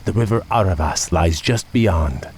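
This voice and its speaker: A man's voice: low-pitched, lively intonation, moderate pace, darkish tone, slightly rough. A man playing a courteous, earnest young elven prince in a video game, with formal, noble diction. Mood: courteous, grave. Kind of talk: dramatic video game voice acting.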